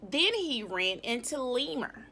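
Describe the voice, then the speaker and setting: Bright, unhurried woman teacher reading aloud. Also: a little high, slight background noise. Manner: expressive, instructive, asks questions of pupils. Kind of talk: children's read-aloud lesson.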